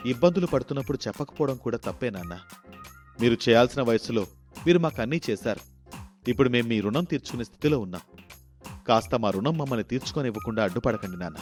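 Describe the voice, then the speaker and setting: A man's voice: mid-range voice, a little mellow, lightly acted. A man voicing a caring, dutiful grown son with an earnest, pleading manner in a moral story. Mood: tender pleading.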